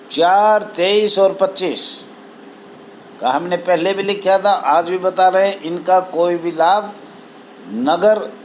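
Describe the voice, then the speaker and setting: A man with a high voice delivers a formal appeal as a spokesman. He goes very slowly, breaking sentences into short, emphatic phrases. There is clear background noise in the recording. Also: rough recording. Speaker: spokesman for a welfare association. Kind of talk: formal address to camera, appeal.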